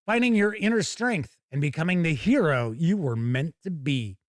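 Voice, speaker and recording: A man's voice: on the high side, moderate pace, dry recording, studio quality. A man hosting a live gaming news show, chatty and wry, moving between casual asides and reading out news copy. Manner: animated, wry, conversational.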